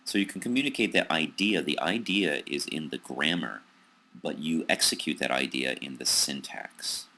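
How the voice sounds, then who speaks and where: A man with an American accent giving a recorded psychology lecture over slides, pausing often with ums and restarts. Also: very deep voice, sharp tone. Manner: hesitant, many ums and restarts.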